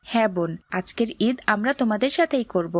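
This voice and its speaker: A woman's voice: fairly high pitch, expressive, brisk, no echo. A woman voicing a kind, earnest neighbour boy who talks in a moralising way in a cartoon drama. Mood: kindly, cheerful.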